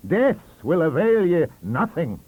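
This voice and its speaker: A man's voice: on the high side, sing-song, slow pace, breathy edge, phone-quality sound, pitched above the performer's own voice, full character voice. A man voicing an indignant, combative Scottish academic, bellowing and declaiming in an audiobook story. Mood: mocking, combative. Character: shouting, indignant Scottish male professors and librarian, combative, scornful.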